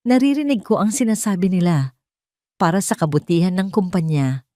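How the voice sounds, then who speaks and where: A woman voicing a calm, gentle aging mother who speaks softly, soothingly and with understanding, in a low voice. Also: normal speed, no room sound, natural voice. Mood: tender.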